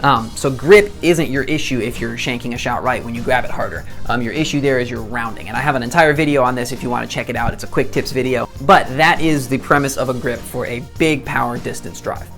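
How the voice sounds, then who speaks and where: A young man in his twenties with a hoarse voice after a day of shouting explains technique to camera in a chatty, self-deprecating way. He often stumbles and restarts, his pitch sitting mid-range, and faint music plays behind him. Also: fairly quick, hardly any pauses. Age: 20s. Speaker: disc golf instructor. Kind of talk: casual tutorial to camera.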